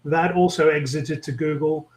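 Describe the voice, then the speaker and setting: A man's voice: fairly high pitch, steady tone. London-accented man on an echoey call. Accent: London English. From England. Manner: measured, many ums and uhs. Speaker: guest, tech executive. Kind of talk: interview conversation.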